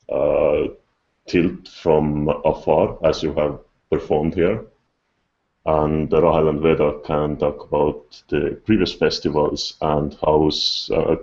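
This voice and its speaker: Man, podcast host, very low voice, halting delivery, lo-fi online call. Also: darkish tone. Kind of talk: casual podcast interview. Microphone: online video call. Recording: dull lo-fi internet call.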